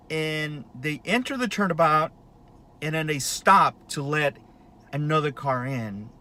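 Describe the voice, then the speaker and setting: A man's voice: a little high, bright, forceful tone. A man in his late thirties talking in a casual, rambling monologue, with lots of fillers and restarts. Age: 30s. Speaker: vlogger.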